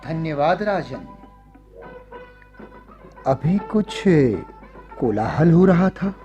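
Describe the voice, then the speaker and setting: A man playing a grave, resolute noble statesman, speaking with measured solemnity in a radio drama. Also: a little high, big pitch swings, warm tone, highs cut off, soft background music, exaggerated voice. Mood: formal. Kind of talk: Hindi radio drama acting.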